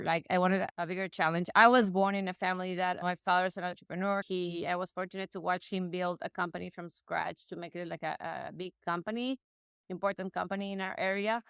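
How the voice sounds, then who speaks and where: A woman talks through her career as a podcast guest, often breaking off and restarting her sentences. Her voice is very clean and smooth. It sounds dry and close, with no room sound at all. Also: mid-range voice, a bit bright, no echo. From Mexico. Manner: chatty, frequent restarts and self-corrections. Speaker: guest, real estate investor. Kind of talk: casual interview conversation.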